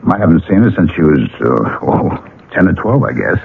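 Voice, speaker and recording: An actor playing a down-to-earth struggling painter and old friend in a 1970s radio drama, plain and colloquial. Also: male voice, extremely low pitch, very animated, moderate pace, creaky, breathy edge, heavily acted. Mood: sympathetic, casual. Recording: muffled, lo-fi old radio broadcast.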